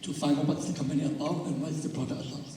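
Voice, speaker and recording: A man speaking on a panel, hesitant with many pauses and ums. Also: on the high side, steady tone, warm tone, a little hiss or hum. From Malaysia. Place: large event hall. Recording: echoey hall, distant mic.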